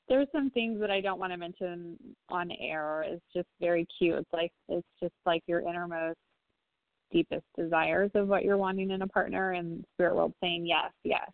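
A woman psychic medium giving a reading on a phone-in talk show, speaking gently and reassuringly with frequent pauses and ums. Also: on the low side, fairly even intonation, vocal fry, no echo. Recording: tinny phone line, dry.

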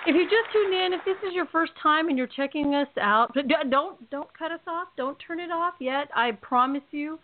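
A woman's voice: high voice, normal speed, hardly any pauses, slight background noise. A woman with a California accent hosting a casual radio show, chatty and rambling, laughing often. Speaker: host. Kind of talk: casual podcast chat. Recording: tinny phone-line audio.

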